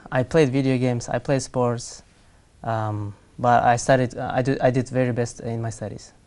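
A young man in his twenties from Kazakhstan, a studio interview guest who answers in a soft, measured, hesitant way. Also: mid-range voice, flat delivery. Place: TV studio set.

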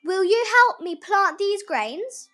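A woman's voice: very high voice, expressive, slow pace, sharp tone, voice pushed up, heavily acted. A woman voicing a plucky, hardworking little red hen from a storybook, earnest and determined. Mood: hopeful asking.

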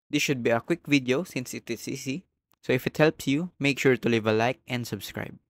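A man narrating a step-by-step software tutorial as the host. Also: mid-range voice, no echo, studio quality.

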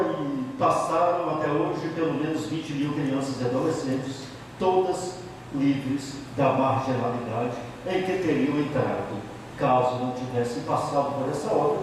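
A middle-aged man with a Bahian accent speaks as a priest, recounting his life with emphasis and frequent repetition. His voice sits somewhat high. It comes from a distance in a room with long, roomy echo. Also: a little hiss or hum. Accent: Bahian Portuguese. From Bahia, Brazil. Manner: emphatic, repeats key phrases, frequent tag questions. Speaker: priest giving a talk. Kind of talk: storytelling talk about his life. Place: office meeting room, indoors. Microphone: handheld mic. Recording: echoey room from a far camera.

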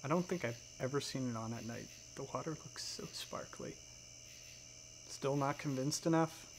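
A man playing a friendly, gentle dragon friend in a soft-spoken, affectionate ASMR roleplay. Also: medium pitch, moderate pace, creaky, background noise, close to an everyday voice. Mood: playful teasing.

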